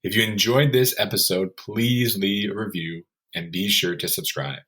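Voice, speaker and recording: A man's voice: on the low side, moderate pace, bright, forceful tone. A man presenting a podcast in a scripted narration style, as its host.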